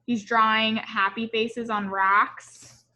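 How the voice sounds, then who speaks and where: A woman's voice: a little high, little pitch movement, slow, sharp tone, lo-fi sound. A woman reading a picture book aloud to children, expressively, with explanatory asides and questions for her young listeners.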